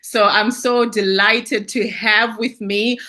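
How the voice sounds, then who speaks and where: A woman hosting an interview, chatty and quick to laugh. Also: medium pitch, fairly even intonation, sharp tone. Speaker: host. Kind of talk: casual interview.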